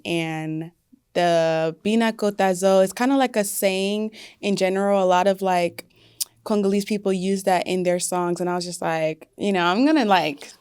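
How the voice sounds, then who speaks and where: A woman's voice: lowish voice, a little mellow, smooth, no echo. A young woman singer talking casually in an interview, with many fillers like "like" and "you know". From United States. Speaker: singer guest.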